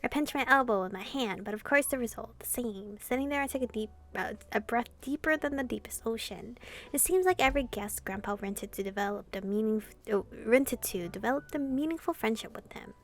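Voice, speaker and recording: A woman's voice: on the high side, a little fast. A woman gamer reading a visual novel aloud expressively, in the style of a casual playthrough.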